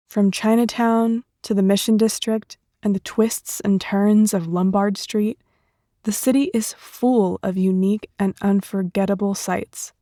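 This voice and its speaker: A woman reading scripted city tour narration for English learners in a measured, clearly phrased way. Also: medium pitch, mellow, no echo, clean studio sound.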